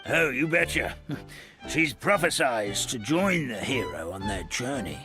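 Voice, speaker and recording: A man's voice: mid-range voice, sing-song, a little slow. An actor playing a kindly, forgetful old grandfather creature in a video game, with a folksy, rambling, hesitant manner. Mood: folksy, helpful. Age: older. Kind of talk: video game character acting. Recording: background music behind the voice.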